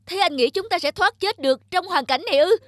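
A woman's voice: extremely high pitch, animated, a little fast, voice pushed up. A woman voicing a panicky, blaming male traveller in a cartoon story, agitated and exclamatory. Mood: bitter, frightened. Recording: music behind the voice.

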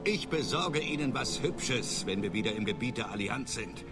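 An actor playing a disgraced middle-aged starship captain and father, evasive and self-justifying, speaking in a formal, measured way in a video game. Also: medium pitch, animated, close to an everyday voice. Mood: haughty relief. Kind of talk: video game voice acting, German dub. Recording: loud music under the voice.

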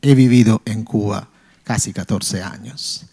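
A man in his forties, a native Italian speaker with a slight Cuban lilt, asks a formal question from a conference audience into a handheld microphone in a large hall, earnest and halting. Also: fairly low pitch, dark tone, husky. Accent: Italian, with some Cuban Spanish. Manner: earnest, halting, with frequent pauses. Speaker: audience member asking a question.

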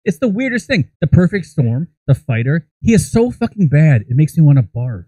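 A man's voice: a little high, very animated, moderate pace, warm tone, no room sound, rough recording. An American man in his thirties co-hosting a podcast, giving crude, opinionated comic commentary with swearing, close on the mic. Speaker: podcast co-host. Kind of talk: comedic ranting movie commentary. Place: small indoor room set up as a podcast studio. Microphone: close podcast microphone.

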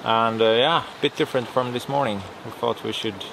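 A man's voice: mid-range voice, fairly quick, vocal fry. A Swedish man in his forties chatting casually about the sail from a boat cockpit at sea, filmed on a close camera. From Sweden. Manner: relaxed, matter-of-fact, sailing jargon. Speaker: sailing vlogger. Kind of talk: casual chat. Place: cockpit of a sailboat at sea, outdoors. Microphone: handheld camera close to face. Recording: wind and wave noise outdoors.

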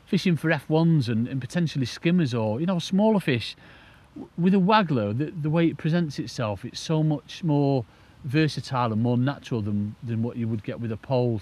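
A British man speaks in a medium-pitched voice, presenting like an angler showing viewers his setup. His delivery is informal and enthusiastic. He explains in a rambling, conversational way, as if chatting straight to camera. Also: a little mellow, slightly rough. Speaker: angling presenter demonstrating a rig. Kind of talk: casual instructional chat to camera.

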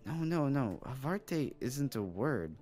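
A man playing a young, exasperated magic student in an improvised Minecraft roleplay, explaining things in halting phrases. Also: medium pitch, very animated, darkish tone, faint music behind. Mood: puzzled, explaining. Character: young male magic student, Elvish-reading scholar, exasperated.

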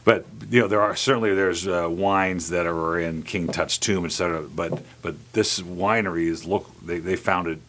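A man's voice: deep voice, fairly even intonation, normal speed, few pauses, a bit bright. A man in his fifties hosting a wine tasting interview in a studio set like a wine shop, chatty and addressing viewers as folks. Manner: chatty, talks to viewers as folks, trails off and restarts. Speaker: wine club owner and host.

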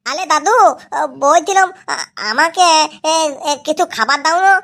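Cheeky cartoon grandson: very high-pitched, lisping, stammering, whiny and pushy about getting fed. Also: lively intonation, a little slow, sharp tone, full character voice. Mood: pleading. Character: cheeky, hungry little grandson demanding sweets. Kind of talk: amateur cartoon comedy voice acting.

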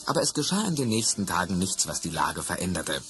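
A man narrating a children's radio play in a measured storytelling manner. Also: low voice, big pitch swings, a bit bright.

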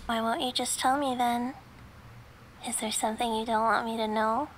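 A woman's voice: fairly high pitch, fairly even intonation, normal speed, very noisy, natural voice. A woman playing a possessive, jealous young girlfriend in an ASMR roleplay, sweetly menacing and teasing, slipping into whispers. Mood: jealous, accusing. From Canada.

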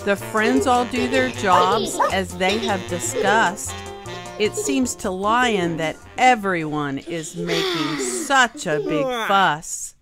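A woman reading a rhyming children's picture book aloud in an expressive, deliberate storytelling manner. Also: medium pitch. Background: music behind the voice.

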